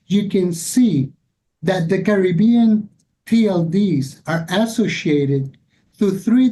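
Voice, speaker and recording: A man giving a formal, deliberate slide presentation as a conference panelist. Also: warm tone, rough recording. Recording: rumbly background noise.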